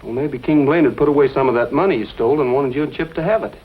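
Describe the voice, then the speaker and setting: A man's voice: medium pitch, fast talker, husky, natural voice. A man playing an easygoing, kind and reassuring cowboy in 1940s western film style. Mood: calm, curious. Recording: dull old film soundtrack, faint noise.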